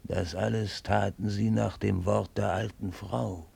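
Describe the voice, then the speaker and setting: Sly one-eyed retainer, radio play. Very gravelly, slow, solemn and archaic in phrasing. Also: male voice, lowish voice, steady tone, a little mellow, exaggerated voice. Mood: grave, conspiratorial. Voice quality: gravelly, husky. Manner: measured, ceremonious, archaic storytelling. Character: sly one-eyed male servant reporting to his raja. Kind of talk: solemn German radio drama acting.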